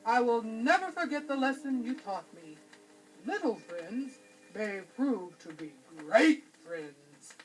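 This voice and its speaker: Woman voicing a grand, slow-talking lion for a storybook. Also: fairly high pitch, big pitch swings, far from the mic, soft background music, poor audio. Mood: magnanimous. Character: big proud male lion, amused.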